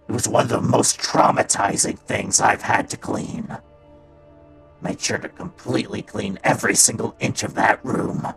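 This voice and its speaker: A performer playing a guilt-ridden male robot daycare attendant in a fan comic dub, halting and sombre, with a gravelly voice that drops into whispers. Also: medium pitch, fairly bright tone, low-quality recording. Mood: anguished guilt.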